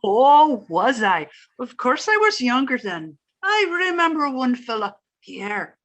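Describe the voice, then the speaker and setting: A bright, high, sing-song older Irish landlady, played by a woman. She chatters coyly and theatrically, swooping up and down as she gossips in old-time radio comedy fashion. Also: sing-song, takes it slowly, lo-fi sound, heavily acted. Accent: put-on Irish.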